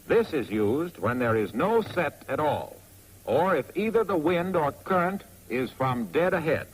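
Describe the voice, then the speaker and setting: An American man narrates a 1940s training film in a scripted, instructional style. His voice sits a little high and moves with animated intonation. It has a husky grain and a wry edge, heard on a vintage film soundtrack. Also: poor audio.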